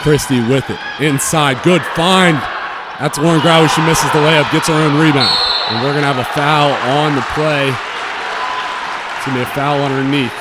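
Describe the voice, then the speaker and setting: Man calling basketball over loud crowd noise. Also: on the high side, steady tone, normal speed. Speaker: play-by-play announcer. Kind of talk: live basketball play-by-play commentary. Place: indoor school gymnasium. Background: crowd, bouncing basketball.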